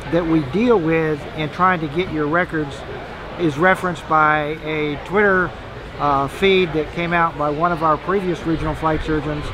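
A man's voice: a little high, noisy background. An American man in his sixties making an informal appeal to camera as a medical official. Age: 60s. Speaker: physician and government official. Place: exhibit booth in a large indoor hall. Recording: busy exhibit hall chatter.

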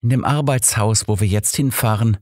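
A man's voice: on the low side, normal speed, a little mellow, dry recording, clean studio sound, voice pushed up, close to an everyday voice. A man reading a spirited, inquisitive woman, a detective's companion, in an audiobook, direct and questioning. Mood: curious.

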